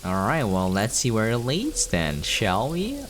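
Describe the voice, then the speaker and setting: Low-voiced man giving casual game commentary. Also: wildly swooping pitch, a little slow, a bit bright, a little hiss or hum. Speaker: video game streamer. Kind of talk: casual gameplay commentary, explaining as playing.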